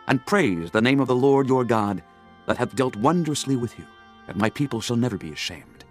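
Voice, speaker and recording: A man narrating a dramatized scripture audiobook in a solemn, declamatory, measured manner. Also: medium pitch.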